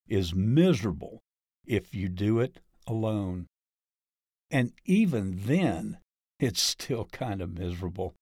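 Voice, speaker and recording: A man's voice: on the low side, a little mellow, dry recording, studio quality. A man in his thirties narrating a scripted teaching piece in a conversational, explanatory way, posing rhetorical questions as he goes.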